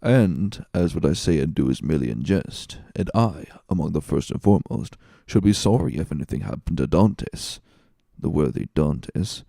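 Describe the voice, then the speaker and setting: Calculating male villain acted by a man narrator, sly, emphatic, mellow-toned, for audiobook drama. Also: rumbling low, animated, moderate pace, vocal fry, no room sound, studio quality, voice pushed down, heavily acted. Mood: feigned innocence.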